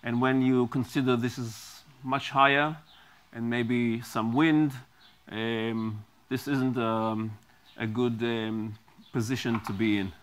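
Middle-aged man, very slow talker, halting with ums, product demo, lapel mic, small studio. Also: mid-range voice, steady tone. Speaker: product designer demonstrating his device. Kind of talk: product demonstration.

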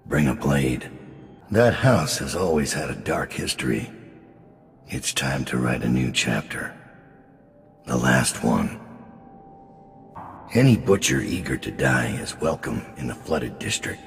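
An actor playing a middle-aged, world-weary assassin leader in a video game, grim, measured and dryly threatening, with a gravelly, very deep voice. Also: male voice, animated, big-room sound, soft background music, heavily acted. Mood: dry, commanding. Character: gravelly, world-weary, menacing master assassin leading a gang. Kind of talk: video game character acting.

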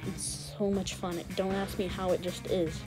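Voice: medium pitch, flat delivery, moderate pace, music in the background, rough recording. A gamer giving casual, slangy gameplay commentary and often repeating words and phrases. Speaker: gaming video host.

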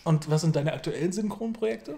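A man's voice: a little high, brisk, gravelly, warm tone. A man, asking questions as a casual interviewer. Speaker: interviewer, host. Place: small room indoors. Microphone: handheld recorder held at chest height.

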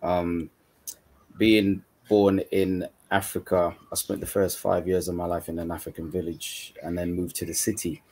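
A man from Uganda speaks in a very deep, low register over a video call. He sounds relaxed and conversational, leaning on "you know" as he explains his views. He is talking from a dim room at home. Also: normal speed, poor audio. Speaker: guest mentor. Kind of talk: casual panel discussion.

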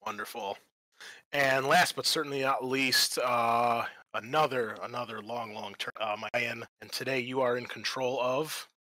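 A man runs a tabletop roleplaying session as game master. His voice is bright and forward, at a middle pitch, recorded close and dry. He switches between casual hosting and narration. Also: fairly even intonation, moderate pace, no room sound, low-quality recording. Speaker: game master and podcast host. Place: online group call.

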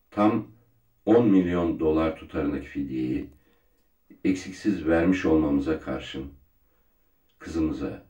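An actor playing a solemn, wealthy father who delivers a formal, measured appeal to the press in a TV drama. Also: rumbling low, a little mellow, roomy sound, muffled recording. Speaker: family spokesman making a press statement.

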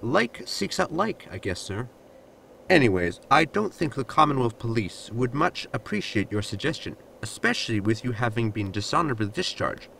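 Man as scheming space sidekick, deadpan insolence, creaky bright voice, lively intonation, audio comedy. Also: medium pitch, moderate pace, slight background noise, heavily acted, rough recording. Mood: sly. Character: sardonic, scheming male sidekick to a space captain. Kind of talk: science fiction comedy audio drama.

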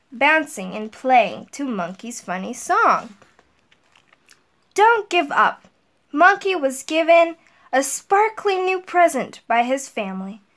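High-voiced middle-aged woman, slow and simple delivery for children, school counselor style. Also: a bit bright. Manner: deliberate, simple explaining to children. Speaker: elementary guidance counselor. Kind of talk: children's storybook read-aloud and talk to pupils. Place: indoors, small room at home. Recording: roomy echo, distant mic.

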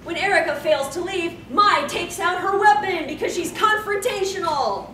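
A woman's voice: extremely high pitch, normal speed, bright, forceful tone. A young woman hosting a fan panel, reading fan fiction aloud in a theatrical, giggly way, with joking asides. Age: young adult. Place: convention panel room stage. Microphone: far camera. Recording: distant camera in echoey noisy hall.

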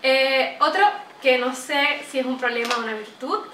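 A young woman from Venezuela with a Venezuelan accent, chatting to camera in a lively, talkative way, recorded in a small bright room. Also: high voice, moderate pace, recorded from a distance, slight background noise. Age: young adult. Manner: chatty, animated, gestures a lot. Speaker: vlogger. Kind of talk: casual chat to camera. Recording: roomy echo, voice a bit off-mic.

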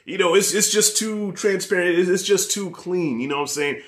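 A man in his thirties with a New York accent, giving a casual, profane, animated review rant to camera in his living room. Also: high-pitched, moderate pace. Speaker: movie reviewer.

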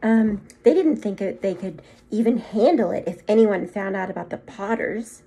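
Animated, husky-voiced woman reading aloud. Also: medium pitch, normal speed, rough recording. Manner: animated, acts out the story, adds short asides. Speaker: second grade teacher reading aloud to pupils. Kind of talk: expressive children's storybook read-aloud. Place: small room at home, indoors. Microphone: phone or tablet propped at arm's length.

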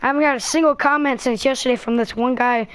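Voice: high-pitched, steady tone, quick pace, fairly bright tone, clean tone. A young streamer chatting casually over gameplay in a slangy, rambling way. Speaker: gaming streamer.

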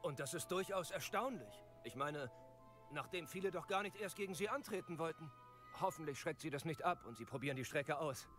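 An actor playing an eager, earnest broom shopkeeper and inventor in a video game, polite and keen to sell. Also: male voice, on the high side, lively intonation, fairly quick, fairly bright tone. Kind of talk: acted video game dialogue. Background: background music.

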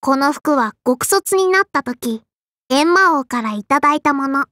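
A woman's voice: high voice, animated, normal speed, bright, forceful tone, no echo, studio quality. A woman voicing a small, strict but kindly and dutiful sparrow girl for an anime game, in a childlike lisping voice with formal, cutesy phrasing. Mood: composed. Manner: formal yet cutesy, chirping verbal tics. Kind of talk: Japanese anime game character lines.

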